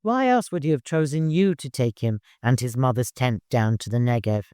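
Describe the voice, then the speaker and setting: A man's voice: medium pitch, normal speed, darkish tone, smooth, no echo, studio quality, lightly acted. A man voicing a mischievous, talkative old servant in an audiobook, knowing and insistent. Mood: reassuring.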